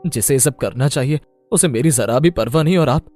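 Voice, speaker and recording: A man's voice: mid-range voice, fairly quick, a little mellow, faint music behind, lightly acted. A man playing a stubborn, neglected young husband in a melodramatic story, with an emphatic, aggrieved manner. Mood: grateful, aggrieved. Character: neglected, stubborn young husband Aditya, smitten with another woman. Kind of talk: melodramatic Hindi moral story acting.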